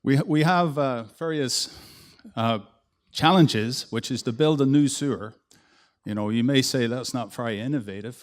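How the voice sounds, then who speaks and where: A man with a Northern Irish accent giving a conference presentation as an engineer, in a relaxed, conversational way with some restarts. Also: mid-range voice. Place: conference hall.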